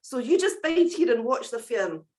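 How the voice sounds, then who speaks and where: A woman's voice: on the high side, very animated, normal speed, darkish tone. A woman doing a scolding Scottish big brother. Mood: scornful. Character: scornful, hardworking older brother.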